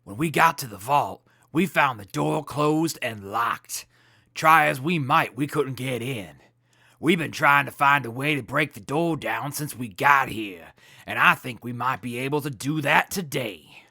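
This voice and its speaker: A man's voice: medium pitch, a little slow, gravelly, sharp tone, no echo, clean studio sound, exaggerated voice. A man playing a laid-back wasteland crew leader in a radio drama, casual, slangy and breezily in charge. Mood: easygoing, confident. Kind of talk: post-apocalyptic radio drama acting.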